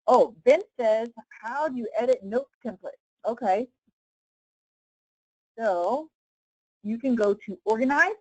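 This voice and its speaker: A woman leading a casual live software tutorial as a trainer, explaining step by step in an informal way with frequent check-in questions. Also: on the high side, slow, pauses often, husky, no echo, rough recording.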